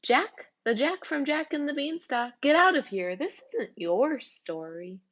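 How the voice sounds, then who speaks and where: A woman's voice: high voice, lively intonation, measured pace, a bit bright, dull audio, voice pushed up, heavily acted. A woman playing a pushy, exasperated storybook narrator who argues with the characters.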